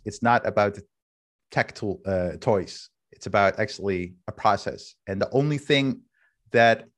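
Dutch-native man, 30s, somewhat low voice, candid video-call demo host. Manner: candid, thinking aloud with restarts. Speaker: workshop host. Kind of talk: casual screen-share demo. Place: home room, indoors. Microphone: headset with video call camera.

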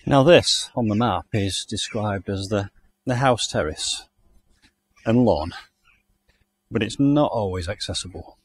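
A man's voice: lowish voice, animated, measured pace, breathy edge. A man from the UK giving a chatty, enthusiastic walking tour of a country garden outdoors, recorded on the camera he carries. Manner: chatty, enthusiastic, thinking aloud. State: hot and overdressed while walking. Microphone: handheld camera mic, walking.

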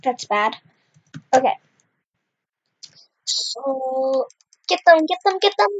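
A woman's voice: sits high, very animated, takes it slowly, poor audio. A young woman commentating her own Minecraft game with a slight cough, chatty and exclaiming at what happens on screen. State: slight cough, clears throat. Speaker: gaming channel host. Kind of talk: casual Minecraft let's play commentary.

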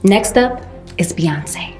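A woman playing a self-important reality modelling show host, grand and dramatic, with weighty pauses. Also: mid-range voice, expressive, a little mellow, faint music behind. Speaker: host and head judge. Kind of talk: parody of a reality competition show.

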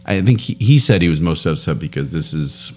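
A man in his thirties, a podcast co-host, chatting casually and telling a rambling story with frequent laughs, on a boom mic in a home studio. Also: expressive, brisk, a little mellow, slight background noise. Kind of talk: casual chat. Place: home studio room, indoors. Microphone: boom studio mic with headphones.

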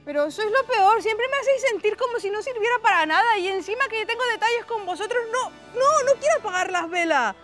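A woman's voice: very high voice, expressive, a little fast, few pauses, over music. An actress with an accent from Spain playing a grumpy, self-pitying young woman, dramatic and dryly sarcastic, in a scripted comedy. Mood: indignant. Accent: Spanish (Spain). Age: young adult.

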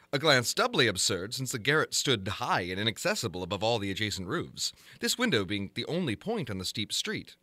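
A man narrating a gothic horror story in a formal, measured, theatrical manner, as in a dramatic audiobook. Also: mid-range voice, bright, forceful tone, no echo.

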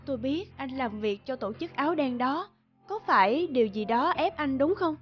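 A woman voicing a calm, friendly female lawyer in a dubbed cartoon. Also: high voice, moderate pace, highs cut off, soft background music. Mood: gentle. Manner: gentle, friendly.

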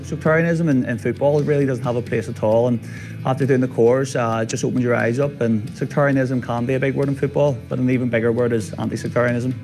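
A man's voice: medium pitch, steady tone, fast. A young man with a Belfast accent giving a testimonial for a promotional video. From South Belfast. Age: young adult. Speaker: footballer, programme participant. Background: guitar music.

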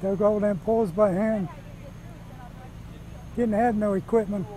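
An older American man talks casually in an interview, like a plain local farmer. His voice is high for a man yet soft and mellow, and he speaks in short, folksy phrases. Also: steady tone, measured pace, a little hiss or hum, poor audio. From United States.

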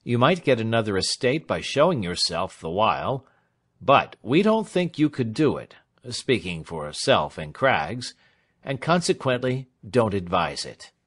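Man playing a dry lawyer with swooping pitch. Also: very animated, a little slow, a bit bright, exaggerated voice. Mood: dry, advising. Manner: dry, shrewd, emphatic. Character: dry, shrewd, whimsical older male lawyer. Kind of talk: dramatised reading of classic fiction.

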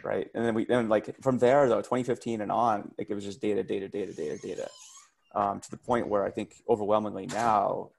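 A man's voice: lowish voice, little pitch movement, a little fast, gravelly. A man in casual video call discussion, rambling with many fillers. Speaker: meetup participant.

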